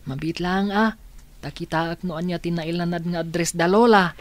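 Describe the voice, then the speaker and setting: A man's voice: fairly high pitch, fairly even intonation, normal speed, no echo, close to an everyday voice. An actor playing a young man helping a lost old grandmother in a radio drama, with plain, practical talk.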